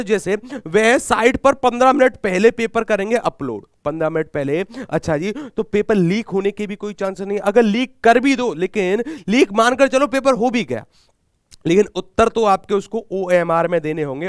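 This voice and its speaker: A man's voice: sits high, smooth, no room sound, clean studio sound. A man teaching an online exam-prep class, who asks and answers his own questions and repeats key points with emphasis. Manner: emphatic, urging students.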